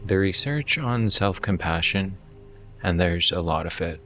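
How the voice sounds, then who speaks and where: A man guiding a meditation in a gentle, soft-spoken, conversational way, leaving room between phrases. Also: low-pitched, expressive, a little slow, dull audio, soft background music. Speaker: meditation guide.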